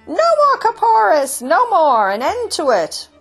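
A woman's voice: a little high, expressive, a little slow, soft background music. A woman voicing a defiant male rooster in a storybook, urgently rallying and commanding his flock. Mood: rousing, stern. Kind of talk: storybook character voice.

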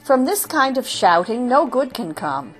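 A woman's voice: fairly high pitch, sing-song, a little slow, faint music behind, voice pushed up. Woman voicing an earnest old rabbi. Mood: persuasive. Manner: pleading, coaxing, rises and falls. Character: earnest old male rabbi, patient leader.

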